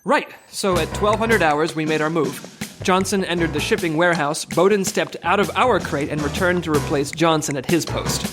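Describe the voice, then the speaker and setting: A man's voice: on the high side, over music, close to an everyday voice. Man acting a flustered, officious team leader. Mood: halting, sheepish. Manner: halting, officious, defensive. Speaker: actor in a comedy audio drama. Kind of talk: comedy audio drama acting.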